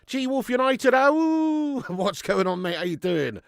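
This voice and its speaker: A man hosting a live fan watch-along of a football match at home, chatting to viewers with sweary banter and bursting into loud cheering at chances. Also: very high-pitched, sing-song, a little slow, vocal fry, clean tone, no echo, clean studio sound. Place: at home in front of a camera.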